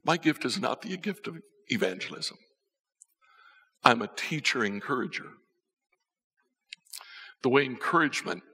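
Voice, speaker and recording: An older man preaching from a church stage, his voice gravelly and slightly husky with a bright edge. He talks unhurriedly, and his pitch rises and falls as he tells his stories. The sound carries a long reverb from the hall. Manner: storytelling, swings between soft and emphatic. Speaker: pastor. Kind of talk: sermon. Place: church stage with band instruments.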